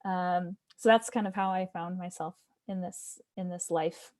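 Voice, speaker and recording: A woman's voice: on the low side, steady tone, unhurried, darkish tone. An American woman, a choir conductor, chatting casually and informally, often laughing as she talks. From Fort Wayne, Indiana, United States. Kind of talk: casual conversation.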